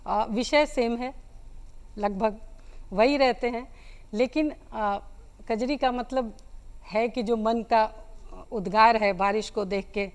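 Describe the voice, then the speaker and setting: A woman with a Bihari accent, explaining folk songs as an interview guest in a gentle way with hesitant pauses. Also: fairly high pitch. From Magadh region of Bihar, India.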